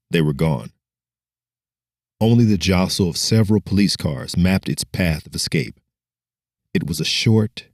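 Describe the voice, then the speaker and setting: A man's voice: sits low, a little mellow, dry recording, studio quality. A man giving a dramatic scripted narration as an audiobook storyteller.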